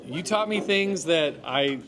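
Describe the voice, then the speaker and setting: A man's voice: fairly high pitch, lively intonation, measured pace. A man chatting casually, giving his take as a class student and food guide. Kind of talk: casual chat. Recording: busy chatter of people behind.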